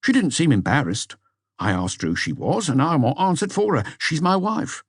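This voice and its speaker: A man's voice: a little high, very animated, moderate pace, breathy edge, no echo, clean studio sound, pitched above the performer's own voice. A man voicing a hesitant, deferential police inspector giving a report in an audiobook. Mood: uneasy, puzzled. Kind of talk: audiobook character dialogue.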